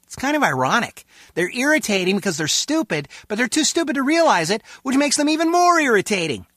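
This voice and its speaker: A gabby video game henchman, petty and full of opinions. His voice swings up and down with a bright edge. He talks in colloquial wise-guy banter, recorded close and dry. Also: very high voice, very animated, a little fast, dry recording, full character voice. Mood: griping. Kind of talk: comic video game dialogue.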